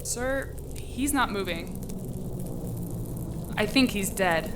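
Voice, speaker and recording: An actress in a radio drama playing an anxious, inexperienced police officer with a nervous, hesitant delivery. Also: female voice, mid-range voice, lively intonation, takes it slowly, background noise. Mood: shaken.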